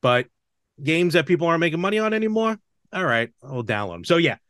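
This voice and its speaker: A man's voice: a little high, sing-song, fast talker, fairly bright tone, no echo, clean studio sound. A man hosting a podcast, chatting casually and enthusiastically about a hobby. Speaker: podcast host. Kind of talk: casual podcast chat about retro games.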